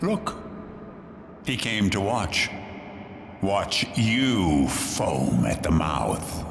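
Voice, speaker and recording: An actor playing an ominous, taunting theatre herald who declaims his lines slowly and dramatically. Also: sits low, sing-song, vocal fry, exaggerated voice. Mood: taunting, dramatic. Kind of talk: theatrical stage dialogue. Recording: echoey hall, noisy ambience.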